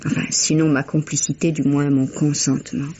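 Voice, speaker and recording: An actress playing a refined, emotional widow in a vintage French radio mystery, with genteel, expressive theatrical delivery. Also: female voice, low-pitched, moderate pace, a little hiss or hum, natural voice. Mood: distressed, confiding. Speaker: actor. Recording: muffled old radio drama recording.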